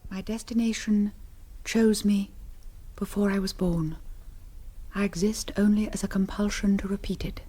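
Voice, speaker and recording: An actress playing a melancholy aristocratic vampire countess in a radio drama, languid and mannered. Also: female voice, medium pitch, little pitch movement, normal speed, dark tone, natural voice, low-quality recording. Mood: haunted, melancholy. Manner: languid, mannered, lapsing into breathy near-whispers. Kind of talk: BBC radio drama acting.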